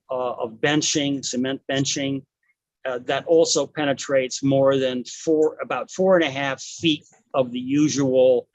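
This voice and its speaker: A man giving public comment at a community meeting, halting, with fillers and restarts. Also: mid-range voice, dry recording, low-quality recording.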